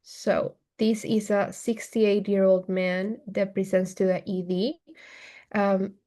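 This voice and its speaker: A woman from Peru walks listeners through a clinical case in a measured, methodical way. Her voice sits at a middle pitch, with nothing unusual about it. It sounds like she is speaking over a video call. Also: mellow. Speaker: case presenter, physician applying to neurology.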